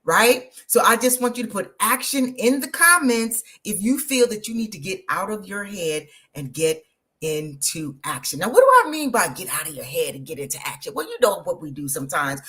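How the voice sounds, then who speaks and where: A woman, hosting an energetic, motivational live stream and talking straight to her viewers. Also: mid-range voice. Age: 50s. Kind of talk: live social media stream.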